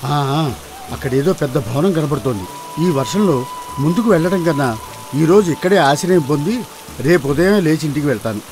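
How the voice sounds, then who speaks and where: A kindly village farmer from an animated folktale, acted by a man, with a rather high voice. He is anxious and exclamatory, often talking aloud to himself, over background music. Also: brisk, close to an everyday voice. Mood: hopeful, calling out. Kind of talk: dramatised animated folktale dialogue.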